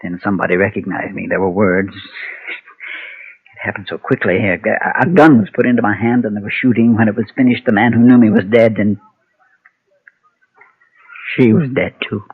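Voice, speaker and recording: Low, husky haunted ex-preacher. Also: male voice. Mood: grave confession. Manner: solemn, halting confession. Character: guilt-ridden former preacher and gunfighter, weary and haunted. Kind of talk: Golden Age radio western acting. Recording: muffled, thin vintage radio recording.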